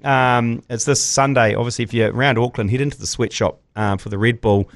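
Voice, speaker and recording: A New Zealand man hosting a casual sports podcast, rambling and slangy, his voice hoarse. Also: medium pitch, steady tone, a little fast, no echo. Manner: laid-back, rambling, slangy. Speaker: podcast host.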